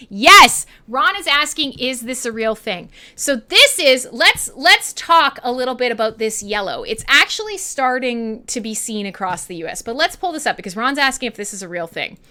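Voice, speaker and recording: Western canadian lady radio host, really bright voice, kinda high, sweary casual chat with listeners. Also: expressive, normal speed, hardly any pauses. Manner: irreverent, sweary, chatty and informal. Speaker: online radio show host.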